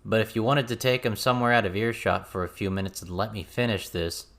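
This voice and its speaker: A man's voice: fairly low pitch, fairly even intonation, quick pace, a bit bright. Man as a firm, businesslike man in charge. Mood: firm, exasperated. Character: authoritative man running a ritual, brisk, patient.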